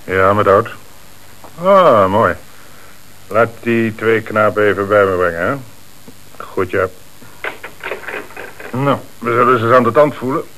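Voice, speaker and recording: Brisk, sardonic Dutch police chief, authoritative, shrewd interrogator in a hissy old radio drama. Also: male voice, on the low side, lively intonation, fairly bright tone, poor audio. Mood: businesslike, decisive. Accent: Dutch from the Netherlands. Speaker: actor. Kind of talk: Dutch radio play acting.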